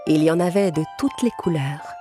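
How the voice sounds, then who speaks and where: A woman tells a children's story in a slightly low voice. She narrates from a script, with music clearly playing behind her. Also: moderate pace.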